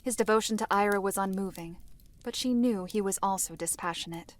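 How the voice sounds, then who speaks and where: A woman playing a solemn mystical seer who narrates a fantasy drama in a measured, formal way. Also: mid-range voice, close to an everyday voice. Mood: knowing. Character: solemn mystical female seer recounting past tragedies.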